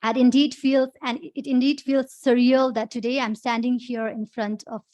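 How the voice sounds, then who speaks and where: A woman's voice: on the high side, flat delivery, normal speed, a little mellow, no echo. A young woman with a Nepali accent gives an earnest prepared public speech as an activist. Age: young adult. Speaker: activist and human rights lawyer. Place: conference podium.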